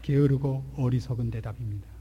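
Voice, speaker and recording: A man in his forties preaching a sermon, asking rhetorical questions and swinging between loud and hushed delivery. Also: mid-range voice, fairly even intonation, a little fast, breathy edge, lo-fi sound. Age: 40s. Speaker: pastor. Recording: long hall reverb.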